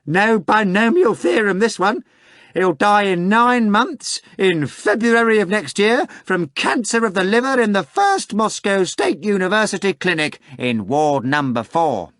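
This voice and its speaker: A man's voice: high-pitched, animated, measured pace, fairly bright tone, dry recording, clean studio sound, voice pushed up. A man voicing a mocking male demon with a cracked, rotten voice in an audiobook. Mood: gloating.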